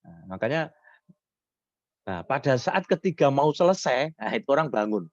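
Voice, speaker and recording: A hoarse man, lecturing on public speaking and answering questions, lifting his voice high when he stresses points. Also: big pitch swings, moderate pace, no echo. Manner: rising pitch when stressing points, mixes Javanese words. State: hoarse from illness. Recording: dull lo-fi sound.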